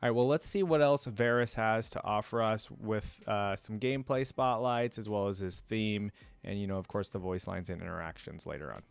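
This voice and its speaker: A man with a fairly low voice recorded very dry, talking as a reaction host. He muses casually, thinking out loud and peppering his commentary with conversational fillers. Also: normal speed, creaky, clear, resonant tone, no room sound. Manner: musing, casual, often says "like" and "you know". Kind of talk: casual commentary, reading a story aloud.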